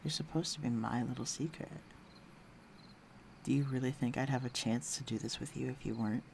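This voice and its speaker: A man's voice: medium pitch, fairly quick, darkish tone, a little hiss or hum, natural voice. A man playing a flirtatious, teasing villain with a secretly tender side, speaking intimately to the listener in an audio roleplay. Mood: flirtatious, wry. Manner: teasing, coaxing, breathy murmurs.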